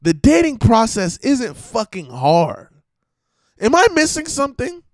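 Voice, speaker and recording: A slightly hoarse man giving an emphatic, preachy monologue with swearing and lots of repetition. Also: extremely high pitch, big pitch swings, a little slow, no echo, clean studio sound. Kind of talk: casual monologue rant.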